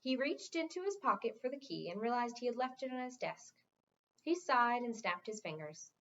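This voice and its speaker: A woman's voice: on the high side, big pitch swings, normal speed. A woman reading a fantasy novel aloud as narrated fiction. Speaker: reader. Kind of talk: audiobook-style read-aloud of a fantasy novel. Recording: dull, lo-fi sound.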